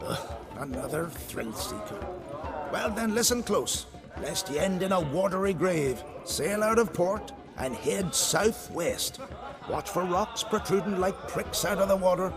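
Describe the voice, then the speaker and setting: An actor playing a grumpy, boastful old seafaring islander in a video game, blustering and long-winded. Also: male voice, sits high, animated, a little slow, over music. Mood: boastful, salty.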